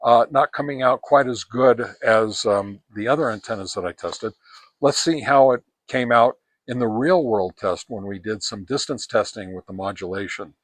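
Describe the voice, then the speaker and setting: A man presenting an informal, unscripted product review, speaking haltingly with frequent pauses in mid-sentence. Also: lowish voice, no echo. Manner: halting, pausing often mid-sentence, clears throat. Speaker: reviewer, presenter of radio antenna tests.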